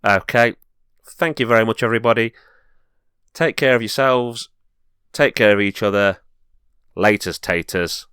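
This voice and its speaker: A man's voice: fairly low pitch, measured pace, pauses often, a bit bright, clear, resonant tone, no echo, studio quality. A man presenting a semi-scripted review as host, speaking in an earnest, enthusiastic way. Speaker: host and reviewer of horror films.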